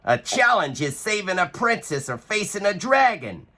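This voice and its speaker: A man's voice: high voice, very animated, moderate pace, bright, forceful tone, pitched above the performer's own voice, full character voice. A man voicing a bold, eager young female knight in a storybook, calling out eager commands. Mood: commanding.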